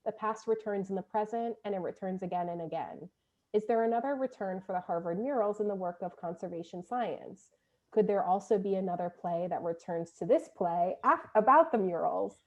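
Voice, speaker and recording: A woman with an average-pitched voice moderates an informal arts panel. She chats casually and reads out questions for the other speakers. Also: brisk, darkish tone, muffled recording. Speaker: theatre director moderating questions.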